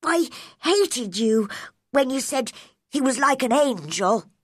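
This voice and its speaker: A woman playing a sickly young boy in a storybook, by turns pleading, imperious and excitable, with a breathy delivery. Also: sits high, slow, no echo, studio quality, pitched above the performer's own voice, heavily acted. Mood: sulky, sorry. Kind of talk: storybook character reading.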